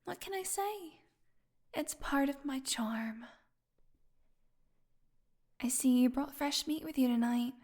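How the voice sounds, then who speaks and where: Actress as female vampire captive, high-pitched, unhurried drawl, sarcastic, defiant, bored, audio roleplay. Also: expressive, darkish tone. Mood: teasing, taunting.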